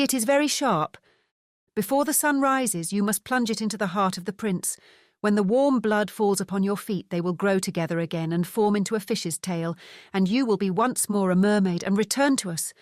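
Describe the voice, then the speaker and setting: A woman acts out a young mermaid sister in a storybook, British, sorrowful and insistent. Her pitch is lifted well up into a medium register, pleading urgently, in a very dry recording. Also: dry recording, lightly acted. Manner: pleading, insistent dramatic delivery. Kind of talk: dramatised fairy tale audiobook.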